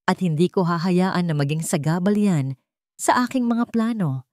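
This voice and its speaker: A woman's voice: lowish voice, moderate pace, darkish tone, no echo, clean studio sound, close to an everyday voice. A woman voicing a cold, taunting male villain in a dramatized audio story. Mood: mocking. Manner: taunting, threatening.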